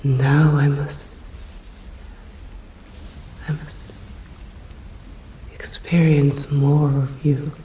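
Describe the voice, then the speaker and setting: A woman with an English accent voicing a stern, hungry yet protective female vampire in an ASMR roleplay, whispering slowly in formal, old-fashioned phrasing. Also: sits low, flat delivery, mellow, reverberant, a little hiss or hum, low-quality recording. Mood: hushed, tender.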